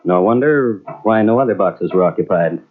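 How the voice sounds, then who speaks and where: A man narrating an old radio mystery in a measured, wry storytelling manner. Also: lowish voice, expressive, slight background noise. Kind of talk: scripted narration. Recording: tinny old radio transfer from cassette.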